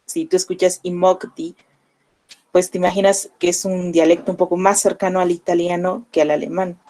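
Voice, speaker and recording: A young woman with a Mexican Spanish accent teaches her students, speaking conversationally and leaning on fillers as she explains. Her voice is mellow and sits a little low for a woman. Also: little pitch movement, moderate pace. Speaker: language teacher. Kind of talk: online class lecture.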